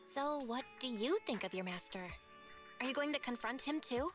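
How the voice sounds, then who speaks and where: A young game heroine, curious and reassuring toward her partner. Her voice sits fairly high and comes through quietly with music underneath. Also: expressive, moderate pace. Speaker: video game character voice.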